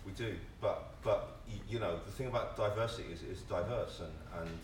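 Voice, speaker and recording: A man's voice: fairly low pitch, brisk. A man speaking as an actor on a discussion panel, impassioned, often repeating words and phrases as a point builds. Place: live panel in a theatre before an audience. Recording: echoey room, distant mic, background noise.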